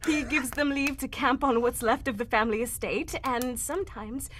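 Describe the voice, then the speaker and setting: A woman playing an anxious young gentlewoman telling her family tragedy in a live old-time radio drama. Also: a little high, moderate pace, slight background noise, natural voice. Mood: grave, troubled.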